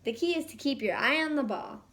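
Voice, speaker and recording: Young male cartoon sponge played by a female reader, high voice, keen, enthusiastic storybook character. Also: fairly quick, fairly bright tone. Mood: confident. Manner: enthusiastic, bubbly.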